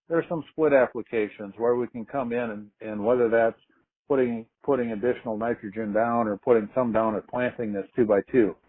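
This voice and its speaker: A man's voice: medium pitch, slightly rough. Phone-line man explaining in business style. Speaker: agricultural marketing manager presenting on a conference call. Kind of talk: explanatory business conference call talk. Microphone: telephone conference call. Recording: narrow, phone-like conference line.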